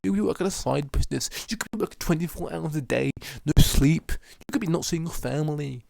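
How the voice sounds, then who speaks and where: A man's voice: fairly high pitch, brisk, creaky, a little mellow, dry recording, voice pushed up. A man voicing a pushy male motivational ranter who lectures the viewer in a raised, preachy tone. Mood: ranting.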